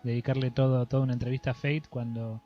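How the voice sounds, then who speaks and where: A man's voice: medium pitch, level tone, fast. An Argentine man hosting an interview in a casual, chatty style. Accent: Argentine Spanish. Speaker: interviewer, channel host. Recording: dull lo-fi audio with music behind. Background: music.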